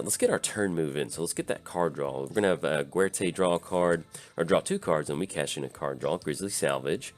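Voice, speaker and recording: Give me a deep-voiced, tired man with a slight rasp, casually talking through his card game turns. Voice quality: slightly raspy. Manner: easygoing, thinks aloud with many filler pauses. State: tired from little sleep. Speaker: channel host. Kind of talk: casual gameplay commentary.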